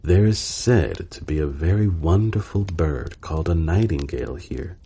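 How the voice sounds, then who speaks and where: A man's voice: sits low, normal speed, dark tone, slight background noise, lightly acted. A man voicing an imperious, indignant fairy-tale emperor in a pompous, commanding manner. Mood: displeased.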